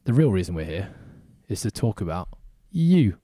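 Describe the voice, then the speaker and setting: A man's voice: deep voice, sing-song, moderate pace, mellow, no echo, clean studio sound. A man hosting a podcast in casual interview style, chatty and upbeat with joking asides. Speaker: podcast host. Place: podcast studio in Hong Kong.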